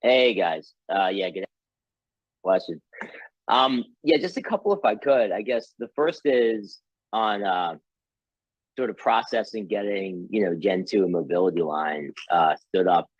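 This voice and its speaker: Deep husky male analyst, dull line. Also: unhurried, dry recording. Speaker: financial analyst. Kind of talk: casual earnings call questioning.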